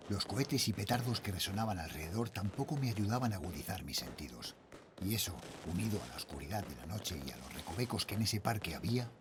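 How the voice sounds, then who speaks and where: A man with an accent from Spain playing a worried middle-aged father, a night guard, narrating a horror audio drama in measured, brooding literary style. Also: fairly low pitch, noisy background. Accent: Spanish, from Spain.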